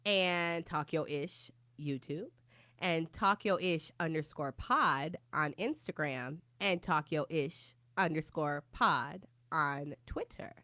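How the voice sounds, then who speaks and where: A woman hosting a podcast in casual chat, with a loud, projecting voice and frequent laughter. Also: low voice, slow, no room sound. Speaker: podcast host.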